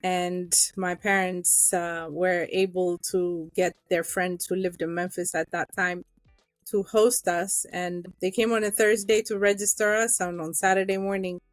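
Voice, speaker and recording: A woman's voice: on the low side, steady tone, unhurried, no room sound, soft background music. A middle-aged woman from Ethiopia, a guest telling her own story in an interview, earnest and emotional, with many fillers.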